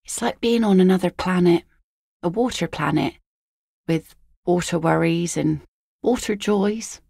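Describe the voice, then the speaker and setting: A woman voicing an easygoing, philosophical seafaring mother in a video game, with a wry, gently teasing, folksy manner. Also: on the low side, a little slow, vocal fry, husky, dry recording, studio quality, full character voice. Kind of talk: naturalistic video game dialogue acting.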